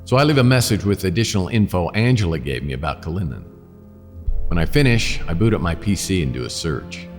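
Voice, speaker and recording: A man playing a world-weary, wisecracking private detective in a noir radio drama, with a wry deadpan delivery. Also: low-pitched, brisk, big-room sound, faint music behind. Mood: brooding. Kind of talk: noir radio drama, first-person narration and dialogue.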